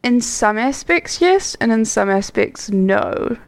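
A woman's voice: a little high, expressive, takes it slowly. A young woman co-hosting a podcast, talking in a chatty, reflective way with plenty of "like" fillers. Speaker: podcast co-host. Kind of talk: casual chat.

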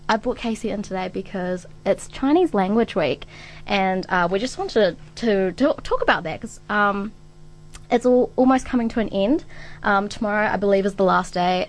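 A young woman hosting a casual student radio chat, relaxed and full of fillers. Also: mid-range voice, rough recording. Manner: relaxed, filler-heavy, laughs often. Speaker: radio host.

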